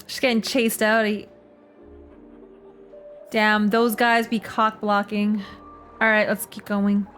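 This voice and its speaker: A woman's voice: mid-range voice, completely flat delivery, takes it slowly, fairly bright tone, soft background music. A woman game streamer giving casual, slangy, playful commentary during a playthrough.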